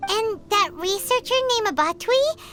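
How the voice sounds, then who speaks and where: A woman voicing a small, childlike, excitable fairy companion in a video game, squeaky and curious. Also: normal speed, full character voice. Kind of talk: video game character acting.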